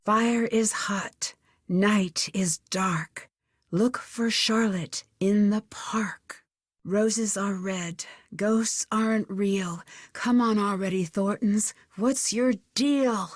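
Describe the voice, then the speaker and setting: A woman's voice: medium pitch, very slow, no room sound, studio quality. A woman voicing a playful, wry young female detective in a video game, with a sing-song way of reciting. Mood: hushed, then impatient.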